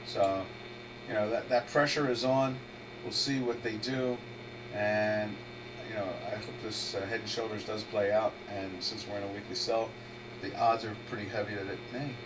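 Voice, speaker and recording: A man's voice: lowish voice, normal speed. A man fighting a head cold who hosts a live trading webinar, thinking aloud in a rambling way and restarting his sentences. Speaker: trader hosting a live webinar. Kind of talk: casual live market commentary, thinking aloud. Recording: distant mic with steady electrical hum.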